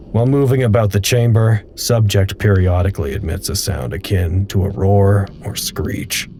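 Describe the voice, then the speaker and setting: An actor playing a detached research log narrator, reading formal reports in a precise, impersonal way for an audio drama. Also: male voice, on the low side, warm tone, slight background noise, lightly acted.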